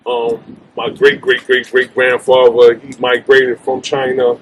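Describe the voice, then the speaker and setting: A man's voice: medium pitch, monotone, measured pace, a little hiss or hum. A man from Trinidad, a guest tattoo artist, telling his story in casual chat, laid back and peppering his talk with "you know what I mean". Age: adult with own family.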